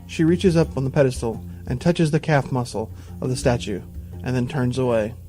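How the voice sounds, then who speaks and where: A man narrating a scripted audio drama in a deliberate, dramatic manner. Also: mid-range voice. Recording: voice under loud music.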